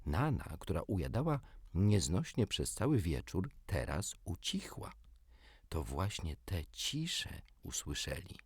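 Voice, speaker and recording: A man with a lowish voice reads a children's story expressively. His pitch moves in lively swings, and the recording is dry and close. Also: measured pace, slightly rough, no room sound. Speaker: audiobook narrator. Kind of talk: expressive scripted storybook narration.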